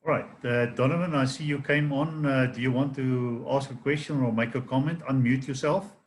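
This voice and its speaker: Calm male moderator, faint background hiss. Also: medium pitch, moderate pace. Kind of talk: moderating an online session. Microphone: video call.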